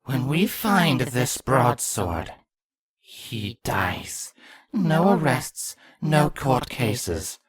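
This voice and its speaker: An actor playing a regal changeling queen in an audio fan fiction drama, husky and slow. She speaks with grave deliberation, a grieving mother with vengeance in her. The sound is close and dry. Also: mid-range voice, no room sound, heavily acted. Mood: cold vengeance.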